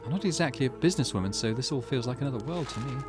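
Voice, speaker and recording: A weary, grieving middle-aged mother in a visual novel, read out by a man reflects wistfully and anxiously on a changing world. The pitch is lively and varied, with soft game music underneath. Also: very fast, natural voice. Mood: reflective.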